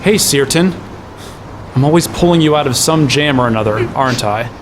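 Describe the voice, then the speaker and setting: A man's voice: mid-range voice, normal speed, background noise, natural voice. An actor playing an exasperated, sarcastic young hero who narrates his own quest in a fantasy audio drama. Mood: reassuring. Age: young adult. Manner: wry, exasperated. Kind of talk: first-person audio drama narration and dialogue.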